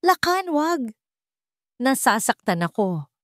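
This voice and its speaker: A woman's voice: high voice, very animated, slow pace, a bit bright, dry recording, studio quality, voice pushed up, heavily acted. A woman voicing a frightened, abused young wife in an audio story, timid and pleading. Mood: panicked.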